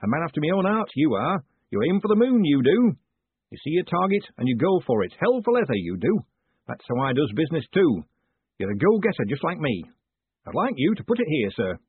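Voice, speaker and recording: A small, bird-like town mayor from a storybook, played by a male narrator, sounds eager and nervous, with folksy, repetitive turns of phrase. His pitch jumps about and the voice is husky. The sound is narrow, like a phone line. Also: sing-song, a little fast, dry recording, heavily acted. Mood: chummy, admiring. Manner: chatty, repetitive folksy phrasing with tag endings. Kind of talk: audiobook character dialogue. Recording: muffled, thin phone-like sound.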